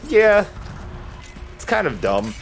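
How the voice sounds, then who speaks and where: A man's voice: sits high, very animated, slow, gravelly. A man giving casual, sweary commentary while he plays a video game. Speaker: let's play host playing the game. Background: game music.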